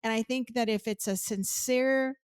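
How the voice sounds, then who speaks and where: A woman's voice: on the high side, steady tone, normal speed, clear, resonant tone, no echo, studio quality. A woman with an American accent co-hosting a casual podcast conversation, speaking in an earnest, emphatic and reflective way. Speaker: podcast co-host.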